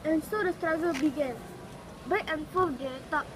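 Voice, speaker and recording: A woman's voice: high voice, normal speed. A woman reading a folk tale aloud. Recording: clearly noisy recording with ambient sound.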